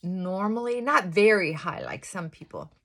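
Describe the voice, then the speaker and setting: A middle-aged woman vlogging casually while doing her makeup, reflective and confessional, with a fairly high voice she deliberately pulls down and soft whispered asides. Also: very animated, creaky. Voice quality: fairly high-pitched voice she works to pull down. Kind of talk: casual get-ready-with-me chat.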